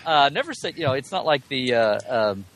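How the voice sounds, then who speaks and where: A man's voice: mid-range voice, sing-song, a little fast, fairly bright tone, a little hiss or hum. A man speaking as a podcast guest in a casual, rambling chat about sci-fi, wry and jokey in his delivery. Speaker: podcast guest, Star Trek commentator.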